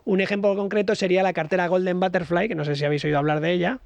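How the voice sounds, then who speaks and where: High-voiced guest explaining patiently. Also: male voice, fairly even intonation, rapid-fire, gravelly, darkish tone, dry recording, muffled recording. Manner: hesitant, many "eh" fillers, explains step by step. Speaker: guest financial educator. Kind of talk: explanatory radio talk. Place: radio studio.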